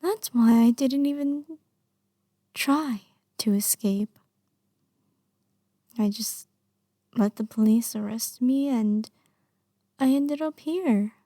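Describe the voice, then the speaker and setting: Woman acting a high-voiced, coy, lovestruck yandere girl. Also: slow pace, long pauses, mellow, no room sound, clean studio sound. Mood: hurt, wistful. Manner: coy, pouty, breathy half-whispers with hesitant stammers. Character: lovestruck obsessive young yandere girl under arrest, playfully unrepentant. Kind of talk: ASMR roleplay monologue.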